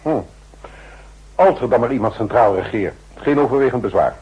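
An actor playing a measured, skeptical president in a 1960s radio drama, terse and questioning, with a husky voice. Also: male voice, medium pitch, expressive. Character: measured, skeptical male federal president, brief and authoritative. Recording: muffled old 1960s radio recording.

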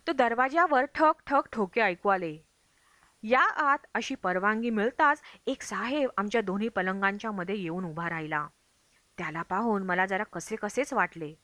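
A woman's voice: fairly high pitch, fairly bright tone, dry recording. A woman reading a travel memoir aloud as scripted audiobook narration. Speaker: audiobook reader.

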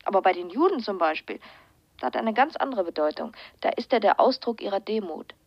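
An actress playing a knowledgeable psychoanalyst in a German radio crime drama, explaining things in a firm, conversational, probing way. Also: female voice, medium pitch, expressive, fairly quick, dry recording. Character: probing, knowledgeable female psychoanalyst, on familiar terms. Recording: slightly dull, band-limited sound.

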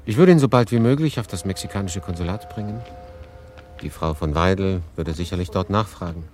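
Actor as low-voiced, reserved German refugee. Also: male voice, fairly quick, music in the background, natural voice. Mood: subdued, matter-of-fact. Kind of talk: radio drama monologue and dialogue.

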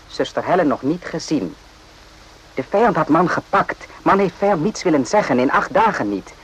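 An actor playing a weary, evasive doctor in a radio play, speaking clipped, broken sentences. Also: male voice, on the high side, sing-song, normal speed, husky. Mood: insistent, terse. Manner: clipped broken speech, dropping articles and verbs. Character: weary, evasive male Vietnamese army-hospital doctor, stoic. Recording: noisy old radio play recording.

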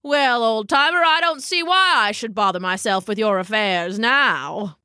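A woman voicing a pompous, theatrical male raccoon from a storybook, with florid, drawn-out exclamations. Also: on the high side, sharp tone, clean tone, no room sound, studio quality. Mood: haughty, aggrieved. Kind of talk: storybook character voice.

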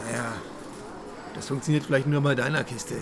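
A man's voice: medium pitch, fairly even intonation, a little fast, background noise, natural voice. A man acting a surly, greedy gambler in a radio play, with brusque and sneering colloquial talk. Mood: greedy, eager.

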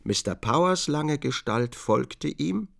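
A man's voice: medium pitch, lively intonation, slow, gravelly, a bit bright, slight background noise. A man narrating a literary radio drama from a script.

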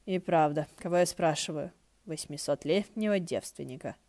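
A woman's voice: deep voice, normal speed, darkish tone. A woman voicing a prickly, awkward male ghost in an audiobook, snide and muttering. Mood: awkward, needling.

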